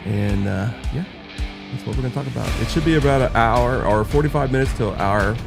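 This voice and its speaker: A man's voice: mid-range voice, brisk, vocal fry, music in the background. A man running a live podcast stream in easy, casual fashion. He jokes around and drifts off into rambling asides while he sets up the show. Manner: loose and joking, rambling asides. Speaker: podcast host.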